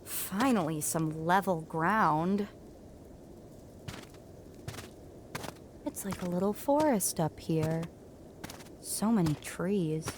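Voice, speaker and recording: A woman's voice: fairly low pitch, animated, takes it slowly, slight background noise. A woman playing a determined, kind young female mountain climber, plucky and inquisitive, in a family audio drama. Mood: wary, musing.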